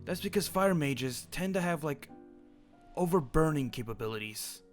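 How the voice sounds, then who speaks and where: A man's voice: a little high, expressive, moderate pace, soft background music. A man improvising a school headmaster in a game roleplay, explaining things at length in a chatty way.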